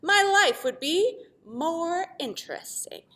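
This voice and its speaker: A woman's voice: very high-pitched, animated, takes it slowly, bright, forceful tone, pitched above the performer's own voice, exaggerated voice. A woman voicing a restless, discontented talking triangle in a children's storybook, grumbling and wistful. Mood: wistful, hopeful. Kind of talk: children's storybook read-aloud.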